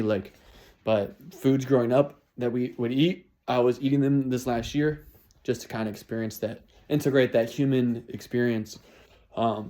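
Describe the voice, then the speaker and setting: A man's voice: mid-range voice, warm tone. Young man musing on his resolutions. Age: 20s. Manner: repetitive, motivational, restarts phrases. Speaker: vlogger. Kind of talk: casual New Year's resolutions monologue.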